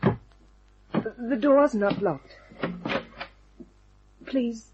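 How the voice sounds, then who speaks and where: An actress playing a frightened, pleading young woman in an old-time radio mystery, breathy and husky, speaking haltingly. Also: fairly high pitch, lo-fi sound, music in the background. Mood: tense, hesitant.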